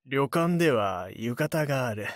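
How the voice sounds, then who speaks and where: A man's voice: medium pitch, lively intonation, measured pace, no echo, clean studio sound, natural voice. A man playing an awkward, reticent husband in a voice drama, speaking in an understated way. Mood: shy, gruff. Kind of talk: voice drama acting.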